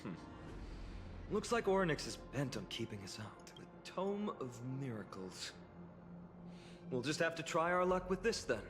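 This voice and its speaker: Casting: earnest young adventure-game leader, very expressive pitch, echoing hall sound. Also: male voice, unhurried, long pauses, music in the background. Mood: wary, determined. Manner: animated, articulate, theatrical. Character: earnest, determined young male fantasy hero leading companions. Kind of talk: video game voice acting. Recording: long reverb, faint background noise.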